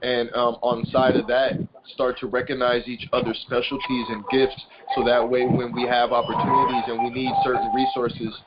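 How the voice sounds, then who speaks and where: A man's voice: medium pitch, little pitch movement, normal speed, hardly any pauses, loud music behind the voice. A man hosting an informal group call, explaining things in a rambling way and often repeating his words. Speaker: conference call host, business and personal growth consultant. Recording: muffled conference call with loud noise, clicks.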